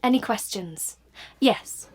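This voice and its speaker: An actress playing a coolly assured woman official in an audio play, lecturing in a deliberate, instructive way. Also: on the high side, lively intonation, over a noisy crowd. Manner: instructive, deliberate, checking listeners follow.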